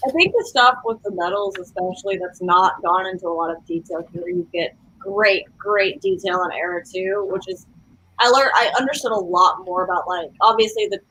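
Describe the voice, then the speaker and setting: A woman chatting casually in a book discussion, speaking in a tentative, halting way. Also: medium pitch, bright, forceful tone, slight background noise, rough recording. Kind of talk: casual book club chat.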